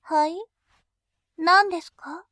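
A woman voicing a composed female bank clerk who speaks in very formal, deliberate language and turns dominating and scornful. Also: very high-pitched, fairly even intonation, pushed and bright, dry recording, studio quality, heavily acted. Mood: polite teasing. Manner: very formal polite speech, slow and deliberate. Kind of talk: adult audio drama acting.

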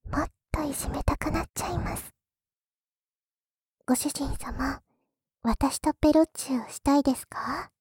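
A high-voiced, coy maid played by a woman speaks slowly and very softly, close and dry. She teases and dominates her master in an intimate adult ASMR roleplay, often slipping into breathy whispers. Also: dry recording. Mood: seductive. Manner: slow, breathy teasing, often dropping to whispers.

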